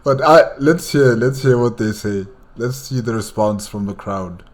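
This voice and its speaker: A man's voice: medium pitch, measured pace. A man hosting a reaction video, chatting casually with many fillers and laughs. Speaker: reaction channel host.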